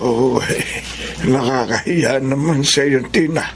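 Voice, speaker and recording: An actor playing a humble, grateful old grandfather in a radio drama, halting and apologetic. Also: medium pitch, noisy background, close to an everyday voice. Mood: humble, embarrassed. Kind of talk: Tagalog radio drama acting.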